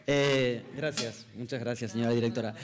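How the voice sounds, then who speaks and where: A man's voice: medium pitch, steady tone, moderate pace, a crowd in the background. A man who wrote the adaptation, explaining it with jokes and some stammering. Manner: joking, stammering. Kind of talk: press presentation remarks. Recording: long hall reverb.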